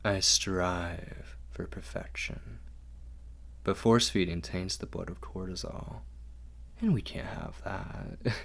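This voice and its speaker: A man acts out a refined vampire lord for an ASMR roleplay. He is very low-voiced and gravelly, doting yet fastidious. His formal words come slowly and softly, often sinking toward a whisper. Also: fairly even intonation, full character voice. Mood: gently persuasive. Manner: formal, measured, softly coaxing. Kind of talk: ASMR roleplay, intimate scripted monologue.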